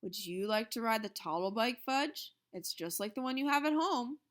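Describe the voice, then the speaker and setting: A woman voicing a firm, measured father who works at an advertising agency, in a storybook reading. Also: fairly high pitch, close to an everyday voice. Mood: stern.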